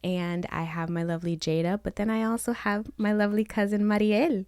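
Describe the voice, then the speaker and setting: A woman's voice: mid-range voice, flat delivery, brisk, darkish tone, clean tone, no room sound. A woman hosting a casual interview, asking questions and laughing along with her guest. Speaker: host, interviewer.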